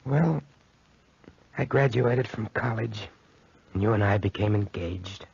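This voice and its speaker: Tormented young man, gravelly, very husky, halting, anguished, vintage radio drama. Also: fairly low pitch, a little mellow, full character voice. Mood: uneasy recounting. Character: haunted, guilt-ridden young man, desperate and wounded. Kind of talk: 1940s radio drama acting. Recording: dull 1940s radio recording.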